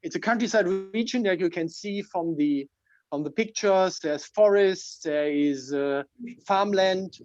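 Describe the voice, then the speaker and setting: A man with a German accent and a slightly high voice presents himself with slides. He explains things informally, phrase by phrase. The sound is close and dry but a bit dull, like a video call. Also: measured pace, no room sound. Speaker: club member presenting himself.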